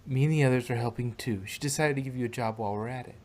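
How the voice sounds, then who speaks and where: A man's voice: medium pitch, rapid-fire, a little mellow, lightly acted. A man voicing an earnest, friendly young female unicorn pony in a fan fiction reading.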